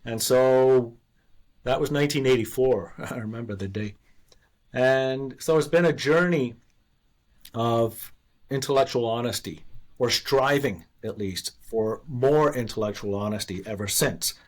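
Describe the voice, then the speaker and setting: A man's voice: medium pitch, measured pace. A man in his sixties from Canada, a former investor being interviewed, telling his story in a candid, earnest way. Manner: candid, earnest, restarts and self-deprecating laughs. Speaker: guest, former investor and author.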